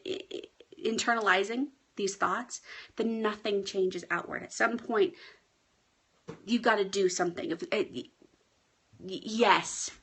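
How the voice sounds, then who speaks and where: A woman life coach talking casually on a home live stream, a bit hoarse and often checking in with her viewers. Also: mid-range voice, bright, forceful tone. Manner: chatty, often asks "right?". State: hoarse, clearing her throat. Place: at home with pets.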